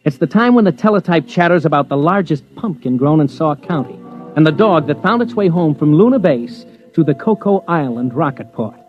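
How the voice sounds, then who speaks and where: Brash young American newsman, played by a male actor, lightly high voice, wry, pushy, dull vintage radio sound with faint music. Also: animated, fairly quick, close to an everyday voice. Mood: wry narrating. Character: brash, persistent young male wire-service reporter. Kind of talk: 1950s radio drama acting and first-person narration.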